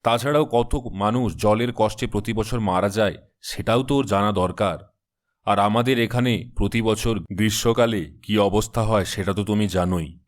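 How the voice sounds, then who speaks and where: A man's voice: fairly low pitch, normal speed, lightly acted. A man voicing a serious, concerned middle-aged father in a cartoon, grave and earnest. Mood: worried. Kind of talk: cartoon story acting.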